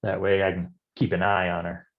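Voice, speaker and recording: An actor playing a world-weary, hard-drinking private detective with slangy, hardboiled delivery in a film noir staged reading. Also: male voice, low voice, flat delivery, brisk, narrow, tinny audio. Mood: cynical, brooding.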